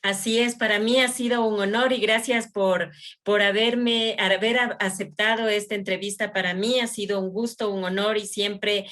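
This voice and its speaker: A woman interviewing in an effusive, halting way, often repeating phrases. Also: mid-range voice, steady tone, a bit bright. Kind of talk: conversational interview.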